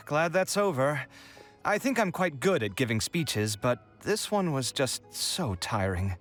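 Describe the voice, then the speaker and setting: Weary, expressive young man. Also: a little high, slow pace, faint music behind, full character voice. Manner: hesitant, expressive, sighing. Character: young, weary, modest, easily exasperated man. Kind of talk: video game voice acting.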